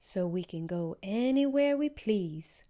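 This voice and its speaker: A woman voicing a gentle but firm mother in a storybook. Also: mid-range voice, expressive, measured pace, natural voice. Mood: hopeful. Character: gentle, firm mother soothing her young daughter.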